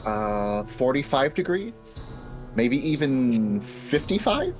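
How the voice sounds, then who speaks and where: A man playing a tabletop game, excitable and rambling as he talks. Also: mid-range voice, slow pace, soft background music, poor audio. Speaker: player. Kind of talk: casual tabletop play.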